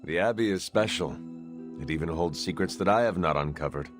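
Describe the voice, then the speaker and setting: A man playing a guarded, plain-spoken hunter hero in a video game, reserved and measured. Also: sits low, over music, lightly acted. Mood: curious, reserved. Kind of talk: video game cutscene acting.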